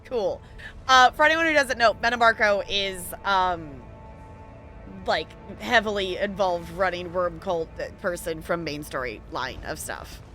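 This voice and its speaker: A woman's voice: lowish voice, a little slow, pushed and bright, soft background music. A woman streamer chatting playfully and rambling through casual live commentary on a game.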